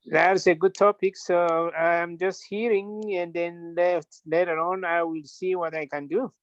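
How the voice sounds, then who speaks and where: A man's voice: high-pitched, little pitch movement, measured pace. A man politely introducing himself on a video call, a little tentative. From Ethiopia. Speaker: meeting participant. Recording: dull video call audio.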